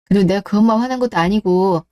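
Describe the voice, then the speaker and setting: A woman's voice: medium pitch, fast, darkish tone, smooth, lightly acted. A woman playing a betrayed, cool-headed wife who questions and reproaches in radio-drama dialogue. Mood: stern, unforgiving. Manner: cold, interrogating, reproachful.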